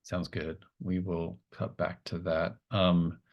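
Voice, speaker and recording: Very deep-voiced male game master. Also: flat delivery, gravelly, lo-fi sound. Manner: halting, thinking aloud, tentative phrasing. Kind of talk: improvised tabletop game narration.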